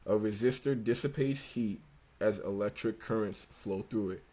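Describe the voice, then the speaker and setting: A young man with a slight lisp reads through an engineering textbook on a relaxed livestream. His voice sits a little low and sounds mellow and unhurried. He drops into easy slang and backs up to reread lines when he stumbles. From United States. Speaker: livestream host studying engineering. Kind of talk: casual livestream, reading a textbook aloud.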